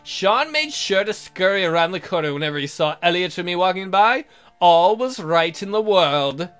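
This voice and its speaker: Male let's player, high lively voice, narrating a romance game theatrically with joking asides, music behind. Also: normal speed, clean tone. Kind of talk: reading a visual novel aloud with joking asides.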